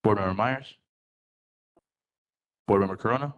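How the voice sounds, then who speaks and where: A man's voice: on the low side, normal speed, dull audio. A man running a roll-call vote at a public meeting, apologetic and self-correcting.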